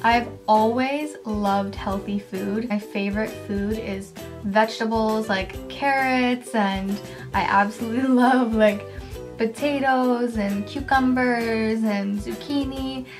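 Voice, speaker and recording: Soft-spoken young woman vlogging over music. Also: a little high, fairly even intonation, slow pace. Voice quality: quiet, soft voice. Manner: chatty, filler-heavy, laughs often. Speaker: beauty vlogger. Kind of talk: casual facts-about-me vlog. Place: bedroom, indoors, small. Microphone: camera at close range, facing it. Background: background music.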